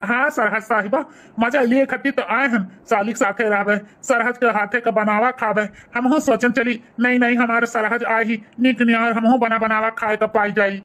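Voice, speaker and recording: A man's voice: very high voice, level tone, moderate pace, bright, forceful tone. A man voicing a flirty, scheming brother-in-law in a village comedy cartoon, sly and wheedling, with a Bhojpuri accent. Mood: teasing.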